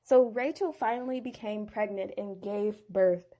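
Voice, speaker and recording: A woman's voice: mid-range voice, unhurried, warm tone. A woman casually retelling a bible story with playful, chatty asides.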